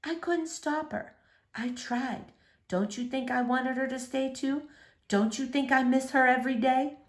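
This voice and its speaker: A woman reading a storybook as a gentle, weary, grieving father who is a preacher and speaks softly. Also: high-pitched, unhurried, pitched above the performer's own voice. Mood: soft, sorrowful. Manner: soft, subdued.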